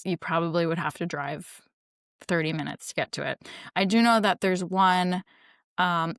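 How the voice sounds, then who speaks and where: A woman talks in an upbeat, chatty way, with plenty of filler words. Her voice sits a little low for a woman. The sound is dry, with almost no room echo. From New Jersey, United States. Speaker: mom vlogger living abroad. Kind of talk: casual talk to camera.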